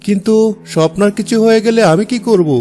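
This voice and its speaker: A man's voice: high voice, quick pace, mellow, faint music behind, lightly acted. A man voicing a two-faced, opportunistic young husband in a cartoon, with an ingratiating manner. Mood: uneasy, questioning. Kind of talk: Bengali cartoon dialogue.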